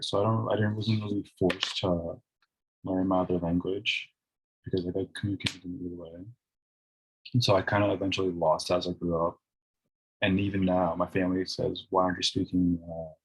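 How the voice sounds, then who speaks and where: Low, husky, flat-voiced teenage guy. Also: poor audio. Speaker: youth forum participant. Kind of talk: informal personal sharing.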